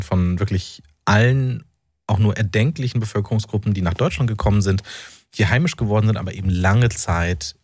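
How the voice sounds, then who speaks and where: Husky low-voiced man, hesitant interview guest. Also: a little mellow, no room sound, studio quality. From North Rhine-Westphalia, Germany. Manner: hesitant, searching for words. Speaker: interview guest, author and journalist. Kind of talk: personal, reflective radio interview. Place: radio studio.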